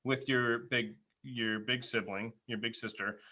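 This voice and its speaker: A man's voice: mid-range voice, steady tone, unhurried, pushed and bright. A man in his forties telling stories casually as a podcast host, animatedly acting out remembered dialogue. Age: 40s. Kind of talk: casual reminiscing storytelling.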